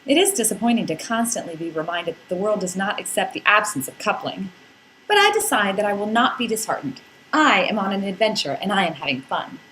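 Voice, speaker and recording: A woman's voice: medium pitch, lively intonation, a little fast, a bit bright, slight background noise, low-quality recording. A woman narrating a scripted first-person comic story in a playful, wry, theatrical way with dramatic emphasis. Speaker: podcast narrator reading a blog.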